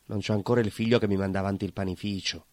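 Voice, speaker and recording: A male actor plays a jailed baker in a stage monologue, with a lowish voice and a Calabrian southern Italian accent. He is bitter and haunted, and speaks in short, insistent, repeated phrases. The sound is dry and close. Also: steady tone, racing, darkish tone, no echo, natural voice. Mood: despairing, wistful. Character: imprisoned Calabrian-emigrant baker, bitter, lovelorn, haunted, simmering with rage. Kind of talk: theatrical dramatic monologue.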